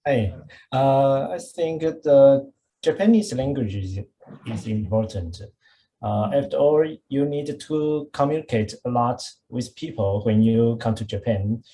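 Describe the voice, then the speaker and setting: A panelist giving measured advice, with frequent "uh" pauses. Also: medium pitch, mellow, a little hiss or hum, rough recording. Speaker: startup visa panelist.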